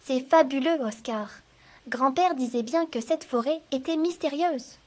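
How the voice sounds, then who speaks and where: A woman's voice: high voice, measured pace. A woman voicing a confident, kind little girl in a cartoon. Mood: curious. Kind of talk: cartoon voice acting.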